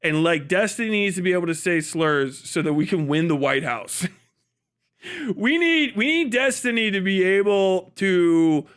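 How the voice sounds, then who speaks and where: An American man with a notably high voice delivers an off-the-cuff livestream rant. He is blunt and sarcastic, swears freely, and hammers his points with emphatic phrasing and mock dialogue. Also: expressive, a little slow, fairly bright tone, clear, resonant tone. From United States. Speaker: livestream commentator.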